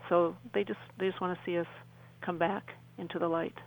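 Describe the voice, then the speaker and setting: A middle-aged woman explaining earnestly as a radio interview guest, halting and repeating phrases for emphasis. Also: lowish voice, little pitch movement, low-quality recording.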